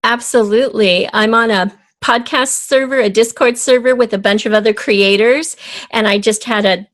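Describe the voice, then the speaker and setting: A woman's voice: a little high, steady tone, moderate pace. A middle-aged or older woman talking casually as a guest in an interview. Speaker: podcast guest, audio drama creator. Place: home, in front of bookshelves. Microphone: USB desktop microphone.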